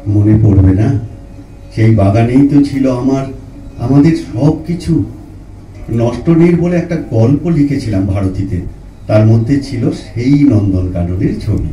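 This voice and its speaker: An actor playing a dying old poet in an audio drama, tender and anguished, speaking slowly and haltingly in a poetic manner. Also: male voice, mid-range voice, animated, warm tone, lightly acted. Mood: reflective. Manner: slow, halting, repeats words, poetic. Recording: roomy echo, distant mic, music underneath.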